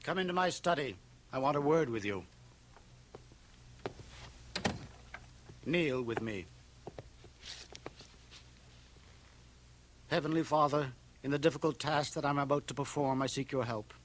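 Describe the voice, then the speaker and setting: An actor playing a stern, pious small-town minister, solemn and righteous, in a radio drama. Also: male voice, mid-range voice, a little fast, slight background noise, close to an everyday voice. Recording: 1980 radio drama from home cassette transfer.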